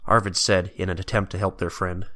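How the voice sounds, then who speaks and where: Male narrator for prose fiction, low-pitched voice, scripted storytelling read. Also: level tone, fairly quick, a bit bright, a little hiss or hum.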